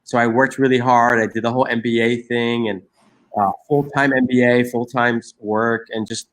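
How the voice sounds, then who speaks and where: A man's voice: mid-range voice, little pitch movement, normal speed, low-quality recording. A man in his forties from Egypt, a guest talking earnestly in an interview, restarting his sentences often. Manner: earnest, many restarts and fillers. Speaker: guest, social entrepreneur. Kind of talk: reflective interview conversation.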